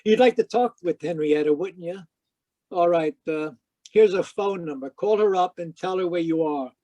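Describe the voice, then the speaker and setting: Man acting a wry, high-voiced private eye. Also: moderate pace, dry recording, dull audio, close to an everyday voice. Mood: questioning, wry. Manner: wry, deadpan. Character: wry hard-boiled private detective, Sam Spade. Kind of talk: old-time radio detective drama and narration. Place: live online performance over video call.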